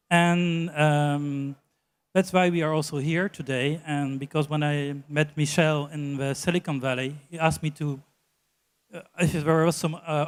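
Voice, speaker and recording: A man representing an economic development agency, explaining its services carefully and hedging his wording. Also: a little high. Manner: careful, hedging with filler phrases. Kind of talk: trade show interview.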